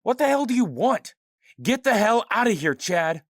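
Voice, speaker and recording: A man's voice: high voice, big pitch swings, moderate pace, dry recording, clean studio sound, voice pushed up. A man voicing a hot-headed young man, angry and defiant, swearing at everyone in an audio drama.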